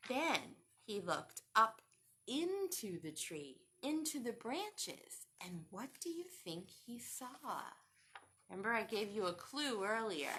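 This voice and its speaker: A woman's voice: fairly high pitch, a bit bright, slight background noise. A woman reading a picture book aloud to young children, expressively and with questions to the listeners. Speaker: children's storytime reader.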